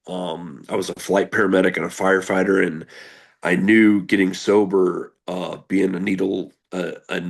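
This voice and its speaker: Deep gravelly man, rambling interview guest. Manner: rambling with many fillers and restarts; candid, earnest. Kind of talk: casual interview chat.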